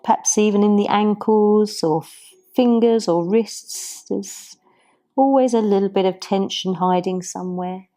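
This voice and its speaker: A woman teaching a yoga class, guiding the poses and breathing in a soft, soothing, unhurried way. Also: medium pitch. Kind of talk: guided yoga class instruction.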